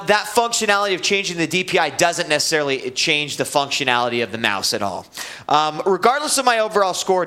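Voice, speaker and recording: A man's voice: fairly high pitch, bright, forceful tone. A man hosting a live gaming web show, casually reviewing a computer mouse with lots of fillers and restarts.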